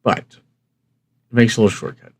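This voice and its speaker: A man's voice: a little slow, darkish tone. A man hosting a hobby live stream, explaining things in a casual, folksy way and answering his own questions. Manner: folksy, conversational, asks himself questions and answers them. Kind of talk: casual instructional livestream talk.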